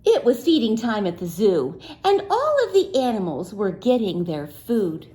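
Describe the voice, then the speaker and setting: A woman teacher reading a picture book aloud to young children, pausing to ask them questions in a playful, sing-song way. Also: medium pitch, very animated, mellow. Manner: slow and deliberate, pausing for answers.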